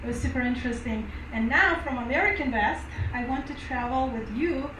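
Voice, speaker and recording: A woman's voice: high-pitched, a little fast. A woman from Uzbekistan giving a presentation at a university event, with phrasing and an occasional laugh. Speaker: guest speaker. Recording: echoey room from far off, noisy.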